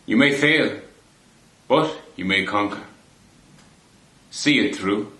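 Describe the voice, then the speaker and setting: Man, poetry reading, unhurried pace, deliberate phrasing, roomy echo. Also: fairly low pitch, expressive, bright, forceful tone. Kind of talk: poetry recitation.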